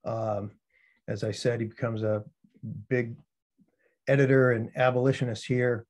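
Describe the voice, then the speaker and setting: An American man with a mid-range voice reads as a poet at a literary event. His delivery is deliberate and phrase by phrase, as one presenting his own poems. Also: mid-range voice, steady tone, darkish tone. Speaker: poet reading own work. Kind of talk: poetry reading with spoken introductions.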